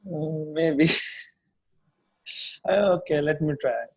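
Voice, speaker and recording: A man's voice: on the high side, slow pace, breathy edge. A man, a graduate student introducing himself carefully. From India. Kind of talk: casual self-introduction.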